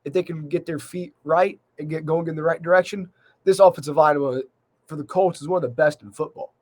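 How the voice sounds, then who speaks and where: A man hosting a solo sports recap in a measured, conversational way with emphasis on key points, his voice slightly worn by a cold. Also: fairly high pitch, steady tone. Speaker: sports podcast host.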